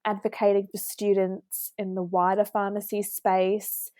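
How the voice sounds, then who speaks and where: A woman's voice: medium pitch, level tone, unhurried, mellow, no room sound. Young New Zealand woman telling her story. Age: 20s. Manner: friendly storytelling with filler words. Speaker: pharmacist guest. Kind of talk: podcast interview.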